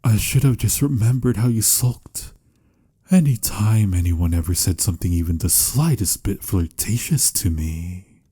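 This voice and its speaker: A man playing a tender, teasing, lovelorn vampire in a romantic ASMR roleplay, speaking intimately and soothingly in a husky, breathy voice that slips into whispers. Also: on the low side, measured pace, mellow. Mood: teasing, fond. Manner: intimate, soothing, slightly formal endearments.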